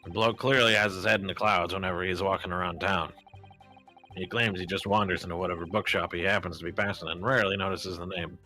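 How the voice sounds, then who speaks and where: A man's voice: deep voice, fairly even intonation, fast talker, pushed and bright, faint music behind, rough recording. A man voicing a brusque, middle-aged male police inspector who talks in an offhand, dismissive way, in a fan dub of a game.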